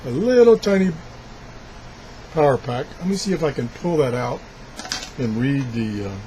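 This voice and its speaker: Halting man demonstrating gadgets, background noise. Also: mid-range voice, animated. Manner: halting, thinking aloud while handling things. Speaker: video blogger reviewing gadgets. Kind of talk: casual unscripted product demonstration.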